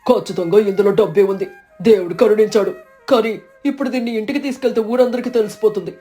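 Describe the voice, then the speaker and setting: A woman voicing a humble, cautious village fisherman in an animated moral story, speaking in a confiding, guarded way. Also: mid-range voice, fast, soft background music, close to an everyday voice. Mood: cautious hope.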